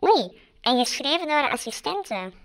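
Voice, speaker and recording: A woman's voice: high voice, big pitch swings, moderate pace, exaggerated voice. A woman with a Flemish accent playing a small, curious male gnome sleuth in an amateur radio play. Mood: pondering. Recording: loud music behind.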